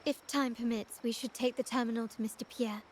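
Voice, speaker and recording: High-voiced poised young noblewoman, acted by a woman. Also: fairly even intonation, a little hiss or hum, lightly acted. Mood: composed, businesslike. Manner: measured, formal, authoritative. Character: poised young noblewoman of a powerful family, composed and commanding. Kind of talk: scripted video game character acting.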